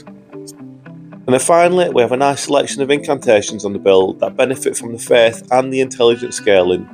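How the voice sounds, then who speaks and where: A young man with a cold-roughened voice, giving upbeat, chatty commentary over gameplay footage as a video host. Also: mid-range voice, a little mellow. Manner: upbeat, chatty, says "guys" often. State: hoarse from a cold. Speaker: gaming YouTuber.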